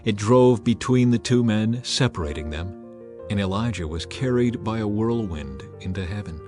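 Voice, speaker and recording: A man narrating a dramatized scripture reading in a measured, solemn, even voice. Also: fairly low pitch. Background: faint music.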